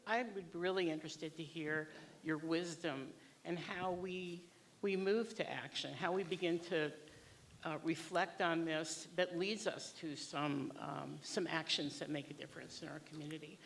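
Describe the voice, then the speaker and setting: A woman with a low voice asks a measured, earnest question into a handheld microphone. She speaks from the audience of a synagogue hall event. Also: vocal fry, slight background noise. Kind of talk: public Q&A question.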